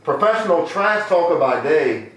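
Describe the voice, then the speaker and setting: A man's voice: on the high side, expressive, moderate pace, a bit bright. Laid-back man hosting in an echoey room. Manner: loose, joking, slangy banter. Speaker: podcast host. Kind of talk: casual interview chat.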